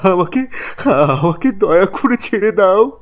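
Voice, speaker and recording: A man playing a greedy, sly and cowardly village boatman in a horror audio story, repeating words haltingly. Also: high voice, lively intonation, heavily acted. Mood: terrified pleading. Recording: clear noise with chirping crickets.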